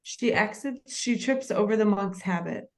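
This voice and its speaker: Narrator: female, medium pitch, reading scripted stage directions at a table read. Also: normal speed.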